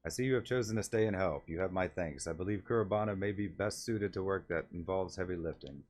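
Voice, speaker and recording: A man's voice: low voice, fast talker, darkish tone. A man voicing a concerned old wolf-man village elder in a video game, speaking in a courteous, formal way. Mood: grateful.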